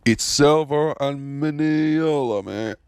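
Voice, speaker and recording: A man's voice: fairly high pitch, slow, a little mellow, clean tone. A man playing a defiant, scrappy young hero in an amateur action drama, with an exclamatory manner.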